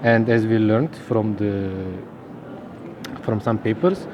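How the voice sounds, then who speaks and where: A man in his thirties from Turkey, speaking English with a Turkish accent as an interview guest, halting and searching for words. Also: on the low side, background noise. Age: 30s. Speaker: linguist guest. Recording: outdoor crowd chatter behind.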